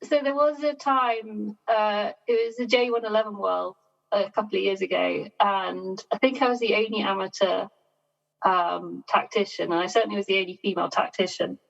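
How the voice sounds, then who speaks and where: A woman talks calmly and modestly as a podcast guest, laughing between her points. Her voice has a slight fry to it. The recording is a little muffled. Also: medium pitch. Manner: measured, self-deprecating, laughs often. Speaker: sailing guest. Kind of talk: casual podcast interview. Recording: dull lo-fi sound.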